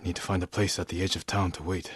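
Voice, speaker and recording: Deep, husky, solemn samurai warrior. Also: male voice, little pitch movement, no echo, studio quality. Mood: grave, resolute. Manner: solemn, measured, restrained. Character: stoic honorable young samurai warrior, weary, grave, protective. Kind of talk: dramatic video game voice acting.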